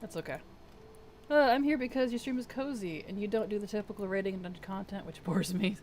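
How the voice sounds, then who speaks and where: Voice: medium pitch, fast, a little hiss or hum. A game streamer chatting casually on a live stream, reading chat aloud and muttering while playing, with a voice worn weak and strained. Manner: soft-spoken, reads chat aloud and mutters to self.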